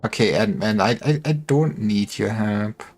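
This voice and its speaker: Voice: medium pitch, a little slow, a little mellow, soft background music. A game streamer chatting casually on a livestream, thinking aloud and breaking off mid-thought. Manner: thinking aloud, self-interrupting.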